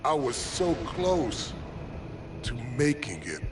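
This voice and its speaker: A man's voice: fairly high pitch, sing-song, slow pace, noisy background. An actor playing an obsessed, vengeful police marksman, giving intense and grave hard-boiled narration for a game cutscene. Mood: grim, driven. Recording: game audio under loud music. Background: loud music.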